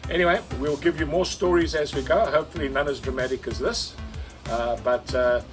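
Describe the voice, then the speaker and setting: A man's voice: on the high side, fairly even intonation, brisk, over music. A man speaking as a skipper and vlog host, telling a first-person story in an earnest way and repeating words for emphasis. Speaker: sailing skipper and video host.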